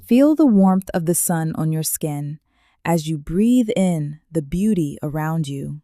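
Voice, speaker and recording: A woman leading a guided meditation in a calm, gentle way, with slow soothing instructions and long pauses. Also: deep voice, dry recording, clean studio sound.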